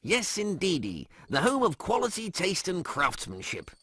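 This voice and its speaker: An officious medical machine with a salesman's patter, acted out by a man reading aloud. The voice is a little rough and swings widely in pitch, delivering formulaic announcements in a dry, close recording. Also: wildly swooping pitch, normal speed, bright, forceful tone, no room sound, heavily acted. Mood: smug, salesman-like.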